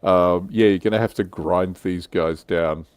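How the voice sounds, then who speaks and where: A man's voice: low voice, fairly even intonation, measured pace, clear, resonant tone. A man hosting a casual hobby panel chat. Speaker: livestream host presenting slides.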